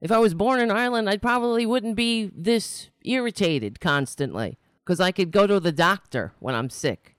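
A woman's voice: medium pitch, expressive, normal speed, hardly any pauses, studio quality. New york lady hosting her own stream, ranty and sarcastic, mid voice, no room echo. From New York City. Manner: ranting, exasperated, sarcastic. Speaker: host of a political talk show. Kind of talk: casual livestream monologue.

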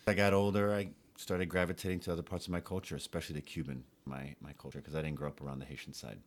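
A man's voice: very deep voice, little pitch movement, racing. A man, telling his life story casually as a podcast guest. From Geneva, Switzerland and United States.

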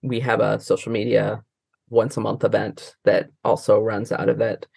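A woman's voice: extremely low pitch, flat delivery, moderate pace, gravelly, a little mellow, husky, dry recording, clean studio sound. An American woman talking casually as an interview guest, rambling and often trailing off mid-thought. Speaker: interview guest, librarian and trainer.

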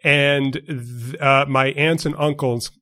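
A man's voice: mid-range voice, fairly even intonation, bright, forceful tone. A young man from New York City co-hosting a podcast, choosing his words carefully, with many fillers and restarts. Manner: measured, precise wording with many fillers and restarts. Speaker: podcast co-host. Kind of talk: casual chat.

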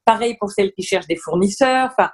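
A woman's voice: mid-range voice, quick pace, breathy edge. A woman, a business founder talking enthusiastically as a podcast guest. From Belgium. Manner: lively, enthusiastic, talks through ideas with fillers. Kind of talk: casual interview chat.

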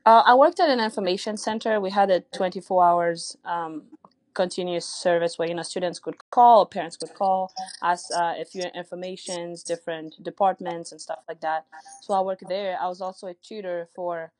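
A woman's voice: lowish voice, steady tone, moderate pace, a little mellow, no echo. A young woman, a tennis coach whose first language is Russian, answering interview questions in a thoughtful way with many fillers and restarts. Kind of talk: podcast interview guest.

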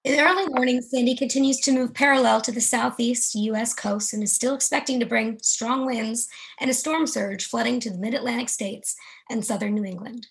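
A woman reading storm news bulletins in a crisp newsreader manner. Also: a little high, fairly even intonation, brisk, poor audio. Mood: informative. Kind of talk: newsreader-style narration.

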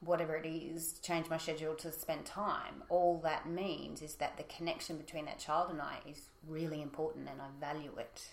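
A woman guest, speaking calmly and affirmingly in a casual discussion. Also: low-pitched, moderate pace, vocal fry, hardly any pauses. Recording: roomy echo.

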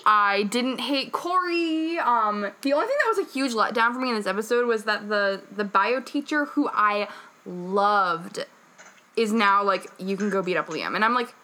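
A woman's voice: mid-range voice, normal speed, sharp tone. A woman from Massachusetts with a New England accent, hosting a casual podcast chat, blunt and swearing freely. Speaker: podcast host.

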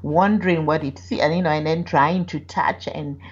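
A woman's voice: deep voice, fairly even intonation, normal speed, husky, dull audio. A woman who grew up in Ghana, a writer guest telling candid personal stories and laughing often. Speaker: author guest. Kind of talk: candid conversational storytelling.

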